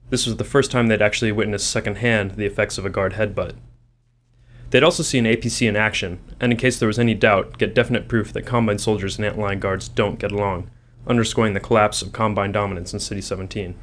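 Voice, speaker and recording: A man's voice: fairly low pitch, a little hiss or hum. A man reading scripted commentary as a game developer.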